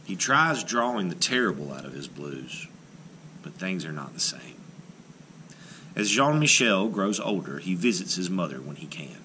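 Animated low-voiced man reading a storybook. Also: moderate pace, slight background noise, low-quality recording. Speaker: art teacher reading a picture book aloud. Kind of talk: expressive children's picture book read-aloud.

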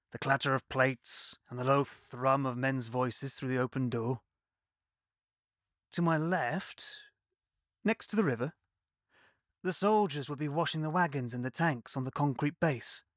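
A man's voice: mid-range voice, no room sound, close to an everyday voice. A man voicing an older man reminiscing, dry. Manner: calm, reminiscent. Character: older man recalling his wartime childhood. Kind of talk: reflective narration in an audio play.

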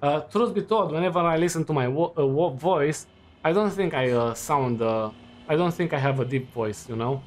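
A man in his twenties chatting casually while playing a game, relaxed and rambling with lots of fillers and restarts. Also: on the high side, moderate pace. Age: 20s. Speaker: student gaming while chatting. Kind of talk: casual chat over gameplay.

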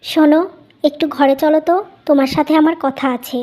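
A resentful young wife from a family cartoon, played by a woman. Her voice is high, and she speaks curtly and accusingly, with a selfish edge. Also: flat delivery, brisk, dark tone, lightly acted. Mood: insistent. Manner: curt, accusing.

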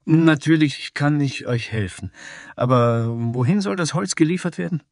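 A man voicing a proud, earnest dragonkin carpenter in a fantasy audio story, speaking deliberately. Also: fairly high pitch, a little mellow, dry recording, studio quality, voice pushed up, natural voice. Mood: proud, helpful.

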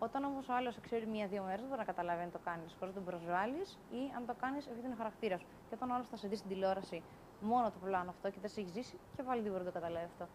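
A woman's voice: medium pitch, a little mellow, slight background noise, low-quality recording. A young woman, a reality show contestant, earnestly explaining her view and groping for words. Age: young adult. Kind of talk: frank one-on-one talk. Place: indoor kitchen area of a reality TV house.

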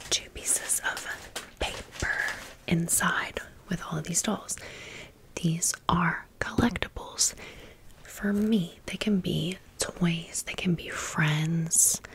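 A woman's voice: fairly low pitch, husky. An American woman whispering in a chatty, rambling ASMR style, as a video creator chatting through a haul and story. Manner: chatty, rambling, repeats words softly.

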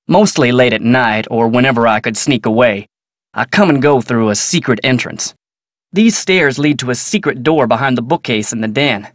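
An American ranch hand from a video game, acted by a man. He talks plainly in a folksy drawl, courteous yet guarded. The medium-pitched voice is recorded dry, with no room around it. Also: no echo, lightly acted. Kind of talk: video game character dialogue.

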